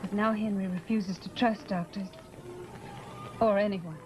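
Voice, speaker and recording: Actress as a troubled, hesitant wife over music. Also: female voice, medium pitch, fairly even intonation, a little slow, close to an everyday voice. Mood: anguished, confiding. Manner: earnest, hesitant. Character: troubled, confiding wife recounting her lost love. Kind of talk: old-time radio horror drama acting. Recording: old radio recording with music.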